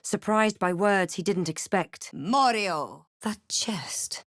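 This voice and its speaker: A woman voicing a wry, resolute adventurer and spellcaster in a fantasy game, with measured, dramatic delivery. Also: mid-range voice, animated, no echo, clean studio sound. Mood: forceful incanting, then hushed whisper. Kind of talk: fantasy game voice lines: narration, remarks, incantations.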